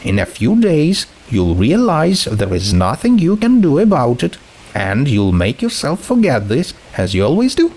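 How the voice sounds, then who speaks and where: A man voicing a cool, pitiless teenage girl with a condescending, calmly menacing manner in a dramatic story reading. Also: a little high, normal speed, voice pushed up, exaggerated voice, poor audio. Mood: matter-of-fact.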